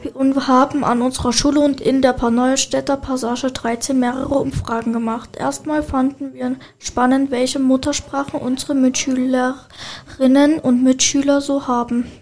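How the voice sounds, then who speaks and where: A woman's voice: high voice, little pitch movement, moderate pace, a little mellow. A teenage girl reading a youth radio report from a script, evenly and steadily.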